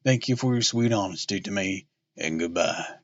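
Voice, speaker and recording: A man voicing an earnest, gallant young male suitor in a slow, hearty, folksy way, in a dull, dry recording. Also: deep voice, dry recording, pitched below the performer's own voice.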